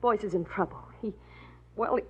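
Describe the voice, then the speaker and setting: An actress playing a prim, nervous wife in a 1940s radio drama, hesitant and stammering, with a husky voice. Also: female voice, mid-range voice, normal speed. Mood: anxious, defensive. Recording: dull vintage radio recording.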